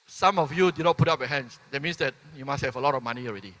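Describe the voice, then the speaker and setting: A man's voice: mid-range voice, rapid-fire, fairly bright tone. A man in his fifties from Malaysia giving a motivational convention speech, tagging lines with "right?" and asking the crowd questions. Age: 50s. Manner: peppers sentences with "right?", asks the crowd questions. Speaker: keynote speaker. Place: large convention hall. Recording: long hall reverb from a stage.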